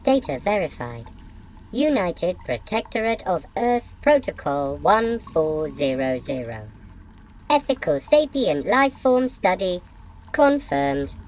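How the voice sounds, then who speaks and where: Slow, low-voiced impassive female computer, acted by a woman. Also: big pitch swings, a little mellow, slight background noise, poor audio. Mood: cold, procedural. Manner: clipped, procedural, emotionless announcements. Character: impassive female computer processing consent for experiments. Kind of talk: audio drama machine announcements.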